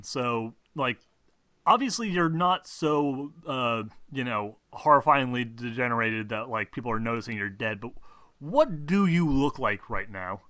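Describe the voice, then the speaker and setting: A man runs a tabletop roleplaying session as game master. His voice is bright and very dry, with no room around it. He talks informally, swearing freely and halting as he builds the scene. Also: mid-range voice, dry recording.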